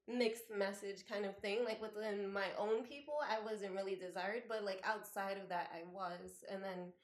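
A woman's voice: mid-range voice, fairly even intonation, moderate pace. Woman talking in an echoey room. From the Bronx, New York. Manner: reflective, frequent "like" fillers. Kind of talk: casual conversation. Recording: roomy echo.

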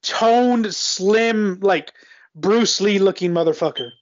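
A man's voice: high voice, slow pace, no echo, lo-fi sound. A man talking casually as a guest co-host, rambling and joking with many fillers, still recovering from a cold. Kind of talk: casual chat.

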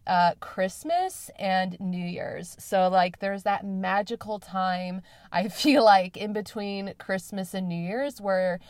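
A woman hosting her own podcast in a bubbly, chatty way, her voice a little raspy today. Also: mid-range voice, fairly even intonation, takes it slowly, few pauses, a little mellow, no room sound, clean studio sound. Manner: bubbly and chatty, with many fillers and repetitions. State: slightly raspy voice. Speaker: podcast host. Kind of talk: solo podcast talk.

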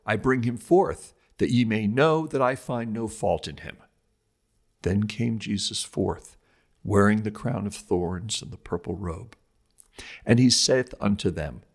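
A man with a fairly low, somewhat raspy voice reads a scripted text aloud. His delivery is formal and oratorical, with strongly varied intonation and emphatic phrasing. He sounds like a solemn lecturer presenting a religious work. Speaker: host reading a lecture aloud.

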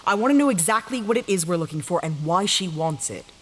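Actress as a guarded adventurer, faint hiss. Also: female voice, on the low side, expressive, fast, close to an everyday voice. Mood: wary. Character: guarded, suspicious woman adventurer with a caring side. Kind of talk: audio drama acting.

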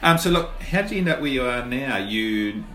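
A man's voice: medium pitch, animated, normal speed, fairly bright tone. A man with a New Zealand accent hosting a podcast interview, chatty and affirming, with frequent "yeps". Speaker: podcast host. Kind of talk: casual interview. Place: lunch event venue. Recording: roomy, with faint room noise.

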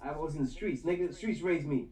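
A man, co-hosting a sports podcast in loud, boastful street slang. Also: fairly high pitch, fast talker, reverberant. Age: 50s. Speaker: podcast co-host. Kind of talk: casual chat.

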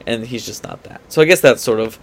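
A man's voice: mid-range voice, fast. A man co-hosting a sports podcast in casual debate, often hedging and repeating his points for emphasis.

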